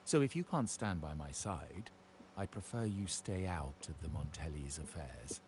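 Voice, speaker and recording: A man playing a stern, imperious older uncle who heads a crime family in a video game, speaking with measured menace. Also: rumbling low, lively intonation, background noise, exaggerated voice. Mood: cold menace.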